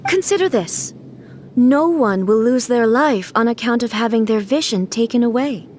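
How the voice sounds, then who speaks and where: An actress playing a solemn, imperious female god ruler in a video game, speaking in a measured, formal and grave way. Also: on the high side, expressive, darkish tone, slight background noise, natural voice. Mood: solemn, unyielding. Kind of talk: dramatic video game voice acting.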